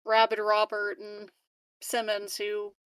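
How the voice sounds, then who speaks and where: A woman's voice: medium pitch, monotone, slow pace, fairly bright tone, clean tone, no echo. A woman playing a tabletop game, speaking hesitantly with many fillers and restarts. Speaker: player. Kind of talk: casual chat at a game table.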